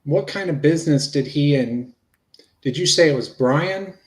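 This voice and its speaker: A man's voice: a little high, normal speed, close to an everyday voice. A man reading the part of a patient investigator in a police interview, explaining things plainly and casually. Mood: casual, probing. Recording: roomy, echoey sound.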